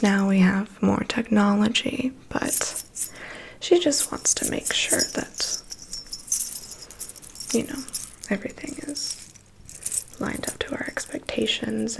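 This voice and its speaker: A woman performing an ASMR personal-attention roleplay as a vampire's assistant, whispering in a soft, gently attentive and reassuring manner. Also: on the high side, vocal fry, low-quality recording. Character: vampire's assistant doing a gentle, methodical medical inspection.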